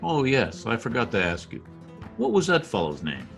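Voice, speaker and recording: Casting: pompous Latin diplomat, male actor, theatrical radio drama, hugely animated pitch, music behind. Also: normal speed, vocal fry, exaggerated voice. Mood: offhand, irritated. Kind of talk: old-time radio drama acting.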